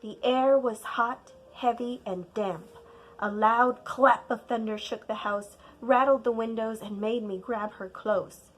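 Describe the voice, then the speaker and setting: A woman narrating a children's storybook aloud like a teacher, deliberate and expressive, leaning on key words for drama. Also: a little high, poor audio. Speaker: teacher reading a picture book aloud.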